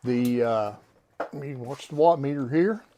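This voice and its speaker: A man giving a casual product demonstration in a folksy, halting, unscripted way, as someone who works on radio gear. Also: mid-range voice, warm tone, a little hiss or hum. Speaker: radio seller and technician demonstrating equipment.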